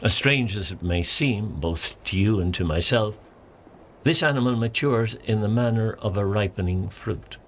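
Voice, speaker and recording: An actor playing a pompous, excitable male nature documentary presenter in a mock-serious animated short, theatrical and self-important. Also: on the low side, moderate pace, slight background noise, close to an everyday voice. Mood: hushed wonder. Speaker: narrator. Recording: music bed behind the voice.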